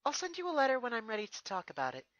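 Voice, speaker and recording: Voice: mid-range voice, wildly swooping pitch, racing, a bit bright, dry recording, muffled recording, pitched above the performer's own voice. A performer voicing an earnest, eager young female pony princess in a fan fiction reading. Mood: earnest, grateful.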